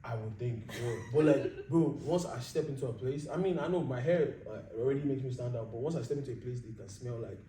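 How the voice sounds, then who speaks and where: A young man with a Nigerian accent tells stories quickly and with a lot of energy, laughing as he talks. His voice sits in an echoey room, picked up by a mic some distance away with faint noise behind it. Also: mid-range voice. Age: young adult. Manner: animated storytelling, laughing often, says "bro" a lot. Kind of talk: casual chat.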